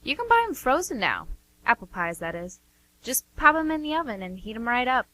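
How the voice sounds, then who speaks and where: A woman's voice: a little high, sing-song, fairly quick, bright, forceful tone, no echo, rough recording. A woman playing a doting, inquisitive older sister, chatting insistently in an amateur radio drama. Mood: nostalgic, friendly. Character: doting, nosy older sister of a grown man.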